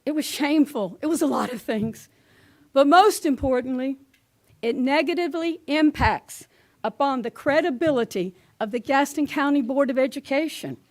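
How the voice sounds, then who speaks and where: A woman with a notably high voice speaks at a podium mic in a public meeting room. She delivers a public comment in short, indignant, measured statements. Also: darkish tone.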